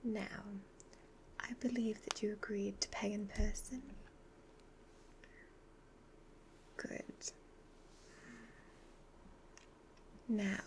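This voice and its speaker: Woman doing a soft whispery evil witch fortune teller, asmr roleplay, slow n hypnotic, sly, commanding, bit of background noise. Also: medium pitch, low-quality recording. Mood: sly, assured. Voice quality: soft, breathy, half-whispered. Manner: slow, deliberate, instructing in measured phrases.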